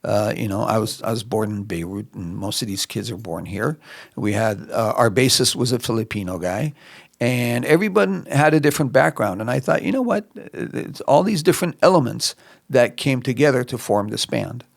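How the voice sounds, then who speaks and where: Gravelly middle-aged man, reflective guest. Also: medium pitch, expressive, normal speed, no echo, clean studio sound. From Lebanon. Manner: reflective, many fillers and restarts. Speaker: musician guest. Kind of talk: relaxed interview answers. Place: podcast studio.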